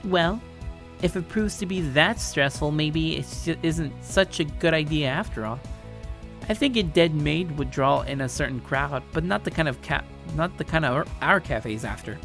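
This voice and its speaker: A man's voice: on the high side, lively intonation, normal speed, gravelly, a bit bright, faint music behind, voice pushed up. A man voicing a tall, confident tomboy girl who teases playfully in story dialogue. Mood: mock serious. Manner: breezy, teasing.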